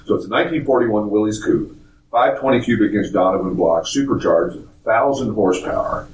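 A hoarse man with a raspy voice from a cold, hosting a casual, rambling car podcast in a studio. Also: on the low side, a little fast, a little mellow, roomy sound, distant mic, poor audio. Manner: rambling, chuckling, with many fillers. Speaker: podcast host at a classic car dealership. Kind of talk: casual chat.

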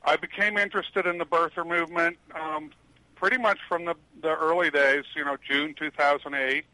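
An older man with a loud, bright, forceful voice, talking on a headset as a radio guest. He rambles with plenty of ums and uhs. The sound is dry and close. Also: a little high, fairly even intonation, moderate pace, no room sound, low-quality recording. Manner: loud voice, rambling with many fillers. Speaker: guest, retired attorney. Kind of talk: radio interview answers.

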